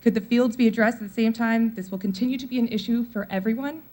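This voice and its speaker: A woman in her forties, a mother of four, briskly reads a prepared public comment at a town meeting, running through lists of needs. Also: fairly high pitch, fairly even intonation, mellow. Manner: brisk, reading from notes with list-like delivery.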